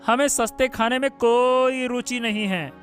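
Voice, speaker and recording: A man's voice: extremely high pitch, a little slow, a bit bright, faint music behind. A man playing an arrogant, sneering grown son who plots in a cartoon family drama. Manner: sneering, contemptuous. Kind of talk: Hindi cartoon moral-story dubbing.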